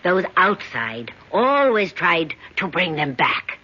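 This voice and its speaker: Cold alien being, measured, bright, breathy, dull radio sound. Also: male voice, high voice, sing-song, heavily acted. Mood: coldly superior. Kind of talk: 1970s radio drama acting. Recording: dull old radio broadcast.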